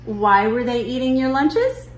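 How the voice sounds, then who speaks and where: A woman's voice: on the high side, lively intonation, unhurried, voice pushed up. A woman reading a children's picture book as an amazed mother, asking eager, incredulous, emphatic questions. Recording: roomy living room with noise.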